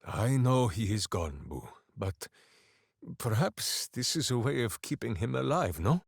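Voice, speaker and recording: An actor voicing a big, simple-minded, hearty berserker hero in a fantasy video game, declaiming with bombastic earnestness. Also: male voice, medium pitch, very animated, a little slow, vocal fry, no room sound, clean studio sound, heavily acted. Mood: solemn, tender. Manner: bombastic, earnest, grandly declaiming, speaks of himself by name. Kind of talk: theatrical fantasy video game character acting.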